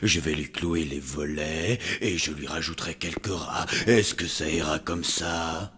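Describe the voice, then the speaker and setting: A man voicing a gloomy cartoon hotel servant with a deadpan, unhurried manner. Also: very deep voice, husky, full character voice. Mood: deadpan, obliging. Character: slow, gloomy male servant of a zombie hotel. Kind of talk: animated series voice acting.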